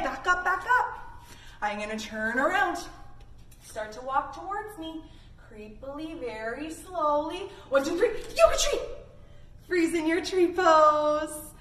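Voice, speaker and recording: Animated high-voiced woman in an echoey room. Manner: encouraging, playful, animated. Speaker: children's yoga instructor. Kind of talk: playful instruction for young children on camera. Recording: echoey room, distant microphone.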